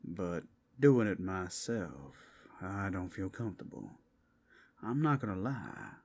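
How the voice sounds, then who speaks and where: A man plays a cautious brothel keeper in a fantasy audio drama. His voice is low and gravelly, and he explains himself in a calm, hushed, unhurried way. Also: heavily acted. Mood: reluctant, calm. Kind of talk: ASMR fantasy roleplay.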